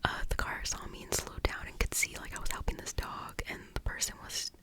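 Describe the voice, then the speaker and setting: A breathy, unvoiced whisper telling a story for ASMR, close and hushed the whole way through. The speaker rambles, fills gaps with "like," and gets tongue-tied, restarting phrases again and again. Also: brisk. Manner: rambling, heavy use of "like". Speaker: ASMR creator.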